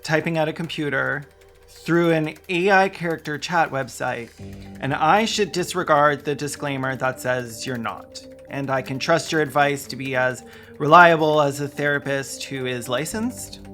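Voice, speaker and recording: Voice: medium pitch, moderate pace, fairly bright tone, faint music behind. A sarcastic, indignant video essayist who swears while delivering scripted investigative narration.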